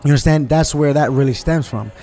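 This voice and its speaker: A man's voice: on the high side, fast, mellow, soft background music. A man from New York in a casual conversation, talking emphatically and often asking "you understand?" to check he is followed. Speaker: guest.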